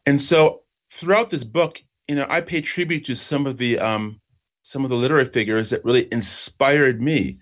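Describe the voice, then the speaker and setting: Middle-aged man, Jamaican-born author, thoughtful storyteller, mid-range voice. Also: mid-range voice. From Jamaica, raised in New York state. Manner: reflective, measured, slows for emphasis. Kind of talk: interview answers, storytelling.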